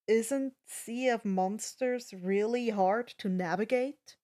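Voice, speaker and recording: A woman's voice: on the high side, slow pace, warm tone. A woman voicing an earnest teenage demigod boy in a casual, hesitant manner. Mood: awkward, nervous.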